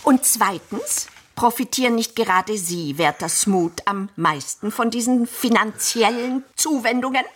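Haughty, high-voiced elderly madame. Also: female voice, animated, measured pace, few pauses, husky. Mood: brisk, scornful. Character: haughty elderly madame of a shabby care household. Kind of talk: German radio play acting.

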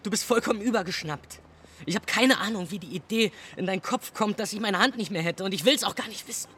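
A man's voice: high-pitched, fast, fairly bright tone, slightly rough. A man playing a cold, exasperated young ex-lover in a radio drama, with a curt and defensive delivery.

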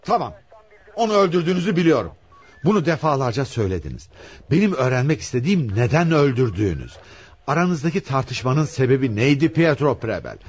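An actor playing a stern, hard-pressed police commissioner in a radio play, speaking in an insistent, commanding way. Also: male voice, mid-range voice, sing-song, brisk, husky, highs cut off. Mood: angry, threatening. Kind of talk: radio theatre acting.

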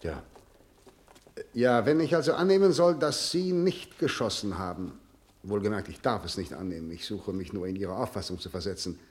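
Stern prosecutor, played by a male actor, deep register, cold official diction, condescending, 1960s radio drama. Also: lively intonation, moderate pace, lightly acted. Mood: impatient, controlled. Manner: formal, clipped, condescending. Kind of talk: 1960 radio drama acting.

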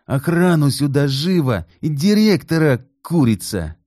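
A man voicing a furious middle-aged husband who yells and bullies in a rough, shouting voice. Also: on the high side, very animated, unhurried, no echo, clean studio sound, pitched above the performer's own voice. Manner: yelling, rough.

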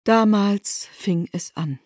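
A woman narrating a literary radio drama, measured and expressive. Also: medium pitch, no room sound, studio quality.

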